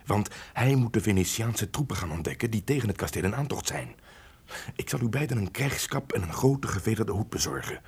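An actor playing an honest, brave castle servant in a radio drama, earnest and conspiratorial, with a husky voice. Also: male voice, on the low side, heavily acted. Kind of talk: theatrical Dutch radio drama acting.

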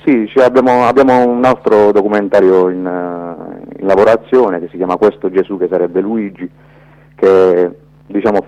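A man's voice: sits low, warm tone. A man giving spontaneous, reflective radio interview answers as a filmmaker, often hesitating and searching for words, on dull lo-fi audio. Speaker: documentary filmmaker, interview guest.

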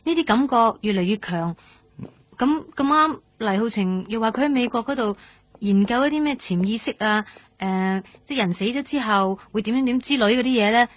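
A woman's voice: on the high side, moderate pace, no room sound, lightly acted. A woman acting an earnest, introspective young patient in a radio drama, speaking Hong Kong Cantonese. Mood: earnest, defensive. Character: earnest young woman recovering from heart transplant, haunted, drawn in.

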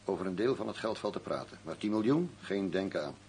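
A low male voice over faint hum and noise. He is a shrewd arms dealer in a radio crime play, curt and commanding, talking down to whoever bargains with him. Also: lively intonation, quick pace, a little mellow. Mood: hard, condescending. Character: shrewd, stubborn male arms dealer, coolly condescending negotiator. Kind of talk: crime radio drama acting.

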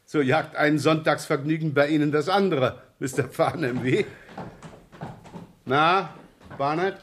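Brusque, sardonic police inspector, slightly noisy recording. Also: male voice, on the high side, unhurried, a bit bright. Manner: gruff, ironic, blunt questioning. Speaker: actor in a radio crime drama. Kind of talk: 1970s German radio play acting. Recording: 1970s radio recording, faint noise.

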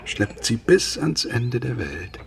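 Gravelly, brooding jealous husband. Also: male voice, medium pitch, big pitch swings, slow, a little hiss or hum, exaggerated voice. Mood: jealous, indignant. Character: jealous, brooding husband, an engineer, self-reassuring and scornful. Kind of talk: radio play acting.

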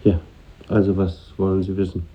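An actor playing a middle-aged factory boss, curt, formal and reserved, in a 1950s radio play. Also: male voice, low voice, completely flat delivery, moderate pace, natural voice. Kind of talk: 1950s radio drama acting. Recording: muffled old radio recording.

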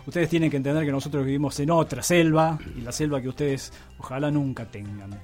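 A man with an Argentine accent giving a casual, halting, tongue-in-cheek radio column. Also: fairly high pitch, a little mellow, faint music behind.

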